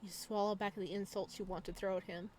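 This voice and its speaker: A woman's voice: mid-range voice, level tone, brisk, darkish tone. A woman hosting a gaming video, reading visual novel narration aloud. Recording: some background noise under voice.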